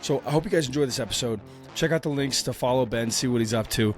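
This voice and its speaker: Hoarse male podcast host with a cold. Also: mid-range voice, fast talker, soft background music. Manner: friendly, hesitant with many fillers. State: losing his voice from a cold. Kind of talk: casual interview chat.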